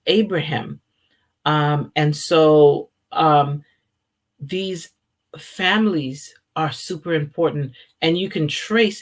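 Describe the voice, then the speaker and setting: Earnest Haitian-born woman teaching faith. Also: low voice. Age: 40s. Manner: earnest and reflective, many ums and restarts. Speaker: speaker opening a teaching series. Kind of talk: informal sermon-style teaching.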